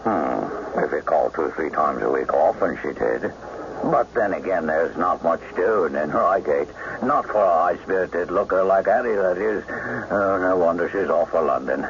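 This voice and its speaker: Jovial village publican: rock-bottom gravelly voice, folksy gossip, radio drama. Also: male voice, low-pitched, lively intonation, moderate pace. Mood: folksy, musing. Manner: folksy, rambling, chuckling gossip. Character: gossipy, jovial male village pub landlord. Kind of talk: radio mystery drama acting. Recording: muffled, noisy old radio drama broadcast.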